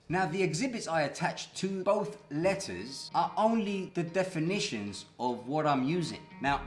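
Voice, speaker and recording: A man's voice: on the high side, roomy sound. Agitated man vlogging over music. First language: English. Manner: agitated, swears, acts out quotes. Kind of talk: casual vlog commentary.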